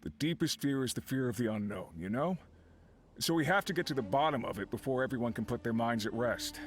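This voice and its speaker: An actor playing an easygoing but uneasy miner who leads a crew, speaking in an earnest, conversational, explanatory way as video game dialogue. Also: male voice, medium pitch, lively intonation, brisk, natural voice. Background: game background music.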